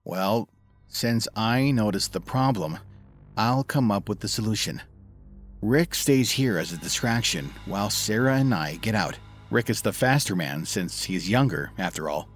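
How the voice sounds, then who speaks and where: A fairly low-voiced, cautious investigator acted by a man, fussy with his companions. It is audio drama, with faint music behind. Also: lively intonation, unhurried. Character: fussy, cautious older-than-his-friend male investigator.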